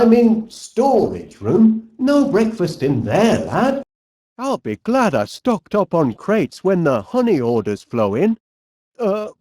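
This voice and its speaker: Middle-aged Northern English inventor, swooping lively intonation, unhurried, fussy, cheerful, bumbling, cartoon comedy game voice. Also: male voice, sits high, dry recording, clean studio sound, full character voice. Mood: musing. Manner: bumbling, hesitant, polite, chatty. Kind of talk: animated comedy game voice acting.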